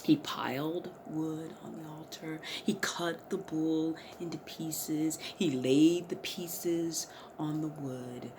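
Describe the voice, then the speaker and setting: A woman narrating a Bible story as a theatrical, emphatic dramatic reading, moving between hushed and loud delivery. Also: deep voice, steady tone, slow, few pauses, background noise. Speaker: dramatic reader.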